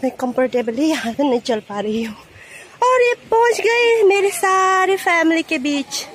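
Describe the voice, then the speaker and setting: A woman's voice: very high-pitched, big pitch swings, measured pace. A young woman narrating a casual vlog from a rocky riverbank outdoors, chatting along as she films on a handheld phone. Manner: chatty, mixes in English words. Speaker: vlogger. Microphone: handheld phone camera.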